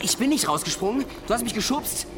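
A woman's voice: on the high side, expressive, quick pace, noisy background, close to an everyday voice. An actress playing a slangy, panicky, sarcastic young backpacker woman who swears and complains in a radio play. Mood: grumbling, sarcastic. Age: young adult.

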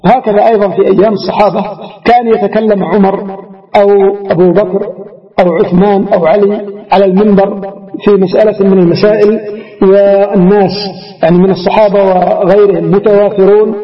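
Guy with a high voice teaching a religious text, explains phrase by phrase, dull muffled lo-fi recording w/ big hall reverb. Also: fairly even intonation, brisk. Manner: didactic, restates and explains each quoted phrase. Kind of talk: lecture explaining a classical text line by line.

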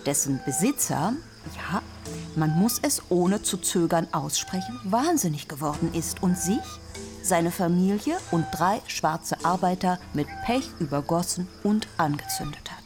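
Low-voiced, shrewd older businesswoman. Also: animated. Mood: composed, persuasive. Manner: measured, persuasive salesmanship. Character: shrewd, resolute businesswoman past fifty, persuasively selling plantations. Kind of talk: German radio drama acting. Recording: music bed behind the voice.

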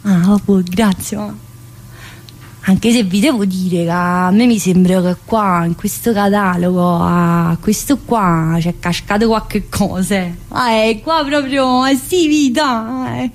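A woman's voice: medium pitch, takes it slowly. An actress playing a talkative, earthy woman inmate in a theatrical monologue, rambling colloquially with a central-southern Italian accent. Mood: cheeky, wistful. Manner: colloquial, rambling, full of interjections.

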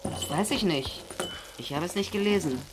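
A woman's voice: on the low side, expressive, moderate pace, very noisy, lightly acted. An actress in a German radio play as a guarded, plain-spoken woman who answers curtly and matter-of-factly. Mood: dry. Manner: curt, matter-of-fact.

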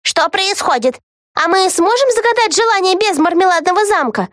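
A woman voicing a high-strung young witch girl in a children's story, shrill, dramatic and exclaiming. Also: expressive, normal speed, fairly bright tone, no echo, clean studio sound. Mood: alarmed, indignant.

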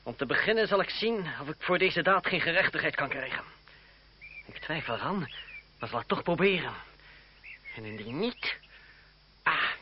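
A man's voice: fairly high pitch, lively intonation, moderate pace, long pauses, breathy edge. A man acting in an old radio play as a bitter, defiant young man grieving his friend, with theatrical, emphatic delivery. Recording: muffled, noisy old radio recording.

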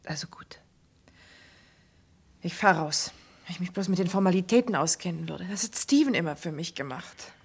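An actress playing an anxious, hesitant businesswoman with a very breathy voice in a German radio crime drama. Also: fairly low pitch, lively intonation, normal speed, pauses often. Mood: worried. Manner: hesitant, worried.

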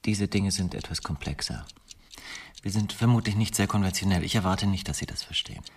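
Proud academic husband, deep, guarded, terse, radio crime drama, slightly distant. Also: male voice, fairly quick. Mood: defensive.

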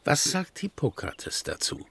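An actor playing a learned medieval monk herbalist, speaking in a deliberate, teacherly way in a radio drama. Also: male voice, a bit bright, lightly acted. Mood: instructive. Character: learned monk herbalist quizzing his pupil.

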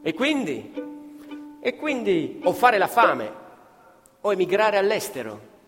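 A man's voice: sits high, wildly swooping pitch, slow pace, fairly bright tone. A man narrating dramatically as an actor-storyteller in radio theatre, declamatory, with rhetorical questions and repetitions. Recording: reverberant hall, live music behind. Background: live violin and plucked strings.

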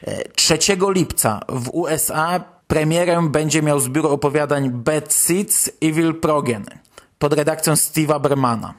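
A man's voice: on the high side, a little slow. A man hosting a podcast, presenting a news roundup in speech. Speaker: podcast host.

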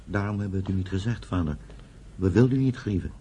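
An actor playing a hesitant, dutiful grown son in a radio play, speaking quietly and respectfully. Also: male voice, low-pitched, animated, fast, a little hiss or hum. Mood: apologetic. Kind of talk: subdued radio play acting.

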